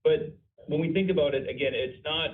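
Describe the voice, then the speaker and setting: A man's voice: on the high side, a little fast. A male company executive giving a conversational answer on an earnings call. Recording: distant phone-like conference line.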